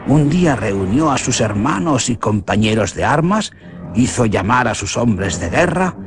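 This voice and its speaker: A man with a Spanish accent from Spain, narrating a legend for a radio drama in an expressive, theatrical way. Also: mid-range voice. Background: music.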